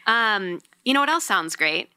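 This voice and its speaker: A woman's voice: mid-range voice, big pitch swings, normal speed, bright, forceful tone, clear, resonant tone, no room sound. A woman co-hosting a casual chat podcast, trading playful, teasing banter. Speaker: podcast co-host.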